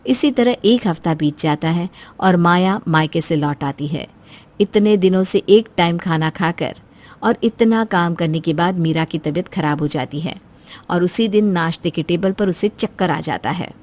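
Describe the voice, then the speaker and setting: A woman's voice: lowish voice, moderate pace, darkish tone. A woman storyteller reading a scripted moral story as narration.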